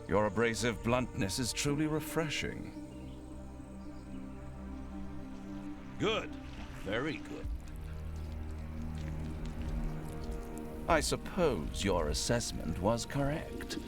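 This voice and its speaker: Noble army lord for a fantasy game: dignified, formal, dry wit, very animated intonation. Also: male voice, mid-range voice, a little slow, over music. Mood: composed, dry. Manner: formal, lofty, drily sardonic diction. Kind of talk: video game voice acting.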